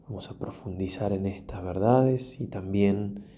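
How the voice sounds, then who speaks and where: A man, a priest leading a guided prayer meditation in a solemn, reflective manner with long pauses and softly trailing phrases. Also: lowish voice, a little hiss or hum.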